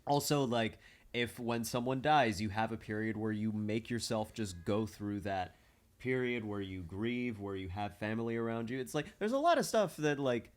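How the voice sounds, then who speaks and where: A man's voice: on the low side, lively intonation, moderate pace. A man hosting a podcast in casual conversation, talking informally and putting questions to the others. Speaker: podcast host.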